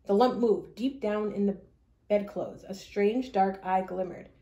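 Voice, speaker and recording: Expressive woman storyteller in an echoey room. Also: mid-range voice, measured pace, muffled recording. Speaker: storyteller reading aloud. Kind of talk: expressive children's story reading.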